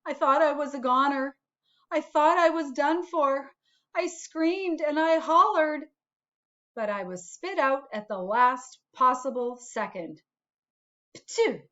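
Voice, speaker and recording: A woman voicing a self-declared bad little sunflower seed in a storybook, confessing with deliberate dramatic phrasing. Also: high voice, lively intonation, a bit bright, lo-fi sound, pitched above the performer's own voice, exaggerated voice. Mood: wistful, dramatic.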